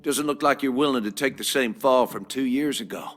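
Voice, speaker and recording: An actor playing a cold, corrupt small-town villain with a folksy, threatening manner in a video game. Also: male voice, medium pitch, brisk, a bit bright, faint music behind, natural voice. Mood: bitter, threatening.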